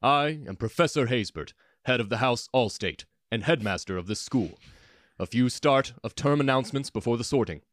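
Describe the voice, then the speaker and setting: A man voicing a deep-voiced, kindly male headmaster who speaks calmly and stately. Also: normal speed, no room sound, studio quality, lightly acted.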